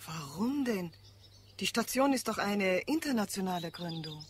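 An actress playing an anxious, devoted wife in a radio play, with an earnest, emotional delivery. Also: female voice, mid-range voice, animated, normal speed. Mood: concerned. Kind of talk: German radio play acting. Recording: clear background birdsong and ambience. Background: birdsong in a garden scene.

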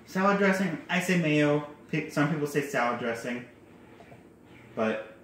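A man's voice: on the high side, animated, a little slow, a bit bright, slight background noise. Young man rambling through a kitchen demo. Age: young adult. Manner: rambling, self-correcting, chatty asides. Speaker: cooking vlogger. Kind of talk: casual cooking demo. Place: home kitchen, indoors. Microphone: handheld phone camera. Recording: echoey kitchen with faint clatter.

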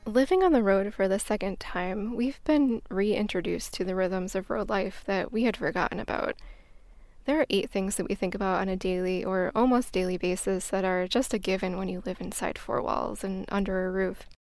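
A woman's voice: mid-range voice, normal speed, a little mellow, no room sound. An American woman hosting a podcast, reading a scripted travel story as narration. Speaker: podcast host.

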